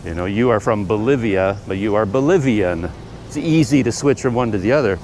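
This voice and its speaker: A Canadian man talking casually to his camera in a busy outdoor market, thinking aloud with plenty of pauses and fillers. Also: lowish voice, animated, a little mellow. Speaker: travel vlogger. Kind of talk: casual talk to camera while walking. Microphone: camera mic. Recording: street noise around the camera. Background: traffic and trucks passing.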